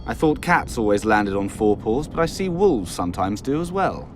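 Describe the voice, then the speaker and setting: A man's voice: lowish voice, very animated, moderate pace, a little hiss or hum. An actor playing a proud, bitter nobleman of royal blood in a video game, formal and sardonic in manner. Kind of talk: video game cutscene dialogue.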